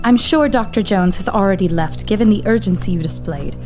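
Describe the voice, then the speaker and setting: A woman's voice: mid-range voice, fairly quick, over music, natural voice. An actress playing a loyal young female assistant who speaks earnestly and pleads in worry. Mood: wary. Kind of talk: audio drama acting.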